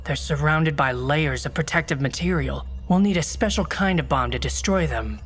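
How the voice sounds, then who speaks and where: Woman playing a husky, melodramatic secret agent. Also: low voice, normal speed. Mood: businesslike. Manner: melodramatic, incredulous. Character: earnest, easily exasperated secret agent. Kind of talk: comic video game cutscene acting. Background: game music.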